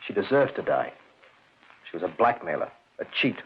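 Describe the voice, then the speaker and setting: A cold, matter-of-fact police lieutenant with a hidden killing behind him. The voice is very deep, with the tinny sound and faint hiss of an old radio transcription. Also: male voice, normal speed. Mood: cold calm. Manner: calm, low, matter-of-fact. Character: cold, calculating police lieutenant hiding a murder. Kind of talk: 1940s radio drama acting.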